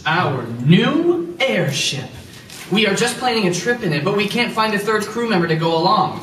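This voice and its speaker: A man's voice: high-pitched, expressive, moderate pace, a bit bright. A man playing an earnest, adventurous young inventor and pilot in radio-drama style, eager and direct. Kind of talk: old-time radio adventure acting. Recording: roomy, distant microphone.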